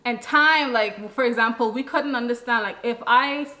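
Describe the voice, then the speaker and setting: A woman's voice: on the high side, normal speed, pushed and bright. A young woman co-hosting a vlog, giving casual relationship advice to camera in an emphatic, explanatory way. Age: young adult. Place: living room, on a sofa. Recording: echoey room. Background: music.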